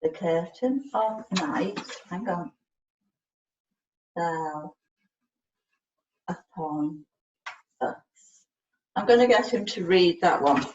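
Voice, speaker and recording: A woman's voice: lowish voice, animated, slow, pauses often, a little mellow. A woman patiently coaching a student one-to-one, spelling out letters and giving short, encouraging instructions. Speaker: communication support worker helping a student spell and write. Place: indoors, on a video call. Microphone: distant computer mic on video call. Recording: dull, roomy, distant video-call audio with noise.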